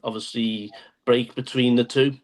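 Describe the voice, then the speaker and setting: A man explaining things to a podcast in a measured way, often saying "obviously". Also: mid-range voice, steady tone. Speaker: guest. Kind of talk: casual podcast chat.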